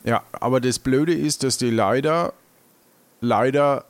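A man's voice: mid-range voice, a little slow, no room sound. A man casually leading an improvised adventure role-play as its game master.

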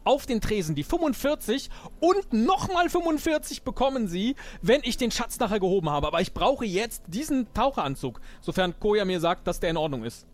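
Guy playing a wannabe pirate, high voice all over the place, brash and eager, fumbles words. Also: fairly quick. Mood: eager bargaining. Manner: excitable, fumbling, chatty. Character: eager, brash small man aspiring to be pirate. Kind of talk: improvised audio drama.

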